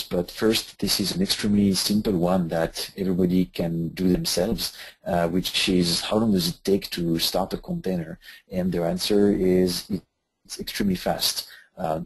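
A man with a French accent giving a technical webinar presentation, talking with many pauses and filler sounds. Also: low voice, gravelly, breathy edge, poor audio. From France.

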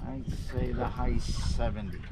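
An older man fishing from a small boat, giving brief, unhurried answers. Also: medium pitch. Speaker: angler, host's father. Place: small boat on an open inlet, outdoors. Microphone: action camera on the boat, a few feet away. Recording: outdoor recording with loud wind and water noise. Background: loud water, wind and boat noise.

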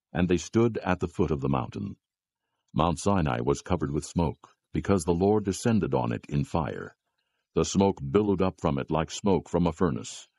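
A man narrating scripture from a script, with a gravelly voice and a measured, grave delivery. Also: low-pitched, darkish tone, no room sound.

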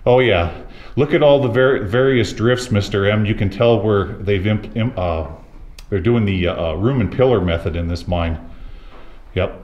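Slow, low-voiced man in an echoey mine. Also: a little mellow, highs cut off. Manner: slow, easygoing, talks to viewers and his companion. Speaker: mine explorer and channel host. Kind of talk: walking-tour vlog narration. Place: large abandoned underground mine. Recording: echoey underground tunnel, faint noise.

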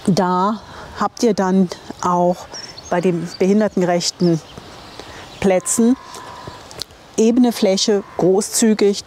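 A woman's voice: fairly low pitch, mellow. Chatty older woman vlogger, noisy location sound. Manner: chatty, enthusiastic, teasing. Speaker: camper van travel vlogger and presenter. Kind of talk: informal guided tour to camera. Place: campsite, outdoors and in small tiled rooms. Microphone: clip-on lapel microphone. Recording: clear ambient noise on location.

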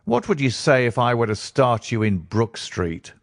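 A man voicing a brusque, bustling gentleman who asks blunt questions in an audiobook. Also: mid-range voice, sing-song, normal speed, no room sound, clean studio sound. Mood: businesslike. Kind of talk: audiobook character reading.

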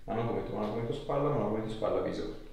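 A man's voice: on the low side, racing, gravelly, darkish tone. A man, an osteopath giving a patient casual, reassuring short instructions in a small clinic room. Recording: echoey small room, background noise, off-mic.

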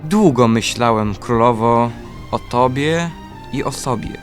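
A man's voice: medium pitch, takes it slowly, faint music behind, close to an everyday voice. A man playing a devoted, sorrowful young prince, earnest and solemn, in a children's radio play. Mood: sad, resolute.

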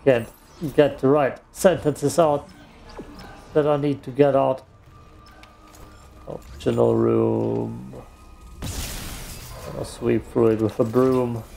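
A man's voice: mid-range voice, lively intonation, slow pace, vocal fry, pauses often, dark tone, soft background music. A man, a German speaker, joking and self-deprecating as he comments on his gameplay. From Austria. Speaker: let's play host. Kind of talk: casual gaming commentary.

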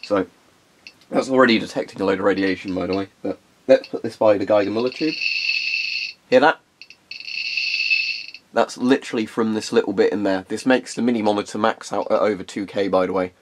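A young man with a low, congested voice chats his way through a hands-on demonstration, often pausing on ums. He sounds stuffed up with a cold. Quiet clicking ticks along behind him in the carpeted room. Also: background noise. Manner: chatty, rambling, frequent ums. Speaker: hobbyist video host.

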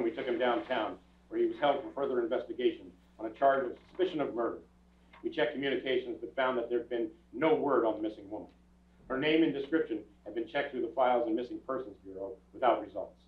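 A man's voice: medium pitch, fast talker, natural voice. An older man playing a terse, businesslike police detective in a staged radio crime drama, speaking in a matter-of-fact, procedural manner. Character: businesslike, clipped male police detective narrating his case. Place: theatre stage before an audience. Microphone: far camera. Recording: echoey theatre from far off, tinny.

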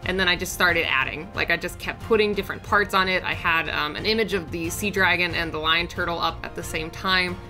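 A young woman talks through a drawing in a casual voice-over, her voice raspy and a little deeper because of a sore throat. Her tone is lowish yet bright and clear, and she rambles along in an upbeat, chatty way. Soft music plays faintly under her voice. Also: fairly quick, few pauses. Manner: chatty, upbeat, rambling with fillers and small laughs. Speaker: art channel host.

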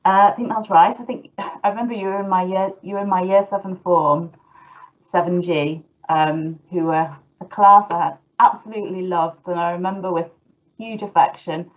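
A middle-aged woman hosts an online talk from home on a laptop call, hesitating and restarting her phrases often. Her voice sits low and comes through dull and muffled. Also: fairly even intonation, moderate pace. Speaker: host and former teacher. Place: indoors at home. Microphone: laptop video call. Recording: muffled video call audio.

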